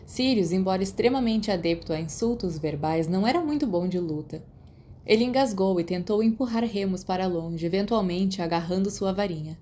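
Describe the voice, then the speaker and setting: Female audiobook narrator, Brazilian accent, warm dark timbre, scripted fiction, light background noise. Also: mid-range voice, brisk.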